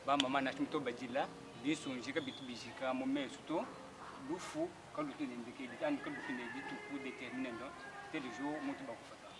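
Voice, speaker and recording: Man over clear background noise. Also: mid-range voice, fairly even intonation, a little fast. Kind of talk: singing and speaking in a local language.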